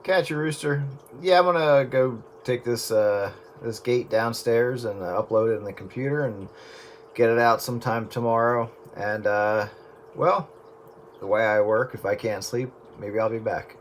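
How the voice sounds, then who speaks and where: A man with a medium-pitched voice chats casually over two-way radio. A faint layer of static sits under his easygoing hobbyist talk. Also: normal speed. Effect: two-way radio transmission. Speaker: radio hobbyist who records the sessions.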